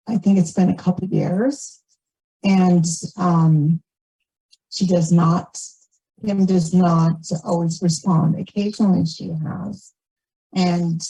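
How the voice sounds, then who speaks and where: A woman's voice: lowish voice, dark tone, breathy edge, rough recording. A woman resident giving an informal comment at a meeting, hesitant and searching for her words.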